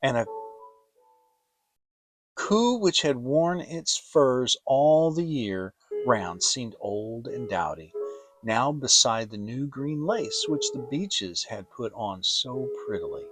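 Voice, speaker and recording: Slow, expressive male storybook narrator. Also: mid-range voice, low-quality recording. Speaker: audiobook narrator. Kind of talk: dramatic scripted children's story narration.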